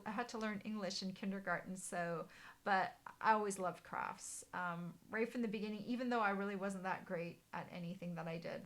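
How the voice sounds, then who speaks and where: A middle-aged woman, German her first language, chatting to viewers in a casual live stream from a bright living room, with many ums and restarts. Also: mid-range voice. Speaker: papercraft demonstrator hosting a live stream.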